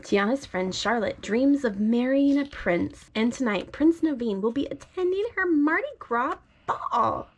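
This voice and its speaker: A woman speaking gently, as a parent does to a small child at bedtime. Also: fairly high pitch, expressive, measured pace, hardly any pauses, a little hiss or hum. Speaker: parent reading aloud. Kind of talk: bedtime storybook read-aloud. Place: bedroom at home.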